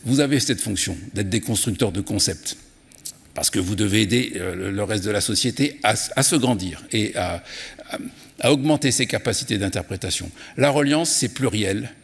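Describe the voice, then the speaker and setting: A man's voice: mid-range voice, gravelly, husky. A man gives an expository, argumentative conference talk, searching for words, repeating them and stressing his lists. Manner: hesitant searching delivery with repeated words and emphatic enumerations. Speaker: guest speaker, geographer and consultant. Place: large indoor conference room. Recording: echoey hall with long reverb.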